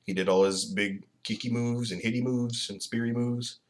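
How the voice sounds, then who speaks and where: A man co-hosting a podcast in casual chat, animated and profane, laughing often. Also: mid-range voice, normal speed. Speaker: podcast co-host.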